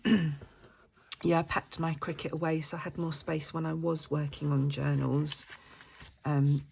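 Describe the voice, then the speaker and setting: An older woman with a croaky voice, chatting and thinking aloud as she works on a junk journal at her craft desk at home. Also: low-pitched, flat delivery, a little slow, darkish tone, poor audio. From United Kingdom.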